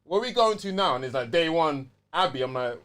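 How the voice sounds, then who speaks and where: A man's voice: on the high side, expressive, brisk, fairly bright tone. A man with a London accent co-hosting a casual game review chat, slangy and given to acting out his reactions. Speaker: podcast co-host.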